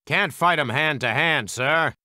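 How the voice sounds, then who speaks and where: American WWII infantryman, strategy game barks, gung-ho, clipped military lines, very tense forceful tone, dry close sound. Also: a little high, measured pace, dry recording. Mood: confident, brisk. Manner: clipped, military, punchy delivery. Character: gung-ho American WWII heavy weapons squad soldier. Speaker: game voice actor.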